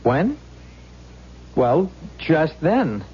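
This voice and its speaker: Husky unsure beat cop, plain-spoken, hesitant, muffled old radio show. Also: male voice, on the high side, huge pitch swings, extremely slow, a little mellow, full character voice. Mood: hesitant, earnest. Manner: hesitant, stammering, deferential. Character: earnest, unsure male patrolman, plain-spoken subordinate. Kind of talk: 1970s radio drama acting. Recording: muffled vintage radio broadcast.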